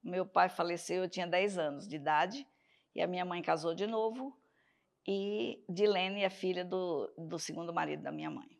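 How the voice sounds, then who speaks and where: Older northeastern Brazilian woman, gravelly. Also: fairly low pitch. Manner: reminiscing, with pauses and restarts. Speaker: interviewee. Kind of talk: oral history interview.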